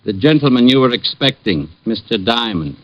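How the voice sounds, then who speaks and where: Male actor as fussy police clerk type, stiff and easily affronted, mid-pitched, muffled old-time radio sound. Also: animated, moderate pace, fairly bright tone, lightly acted. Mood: stiffly affronted. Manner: fussy, formal, precise. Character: prissy, officious male desk sergeant, easily affronted. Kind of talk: 1940s-50s radio comedy-drama acting. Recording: dull old radio recording.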